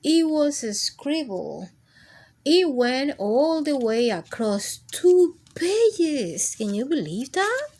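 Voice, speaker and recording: A woman's voice: high voice, sing-song, slow, a little mellow, voice pushed up, full character voice. A woman playing a theatrical crayon in charge of a picture book, addressing the reader in a formal, dramatic way. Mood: dramatic, dismayed. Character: theatrical crayon stage manager telling of a ruined book.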